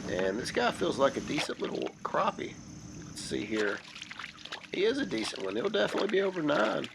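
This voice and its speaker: A hoarse man in his thirties, calmly narrating his fishing from a kayak on a creek. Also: on the high side. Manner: slow, steady explaining. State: hoarse from a cold. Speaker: kayak angler. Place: kayak on a wooded creek outdoors. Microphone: camera mounted behind him on the kayak. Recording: noisy outdoor camera audio.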